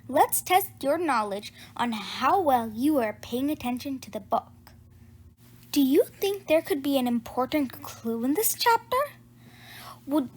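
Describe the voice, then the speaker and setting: A woman reading a children's book aloud in an animated storytelling style. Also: high voice.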